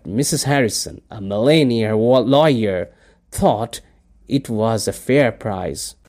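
A man from Bangladesh whose first language is Bengali, speaking English with a Bangladeshi accent as he reads a set passage aloud with careful, deliberate delivery. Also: fairly low pitch, a little mellow. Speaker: dialect archive speaker. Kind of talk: reading a set passage, then speaking about his culture.

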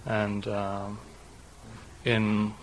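A young man with a German accent speaks in a lowish voice with very little melody. His delivery is halting and explanatory, that of a native German speaker using English. Some background noise sits behind him. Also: monotone. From southwest Germany. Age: 20s. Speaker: interviewee, doctoral student. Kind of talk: read passage, then unscripted personal account.

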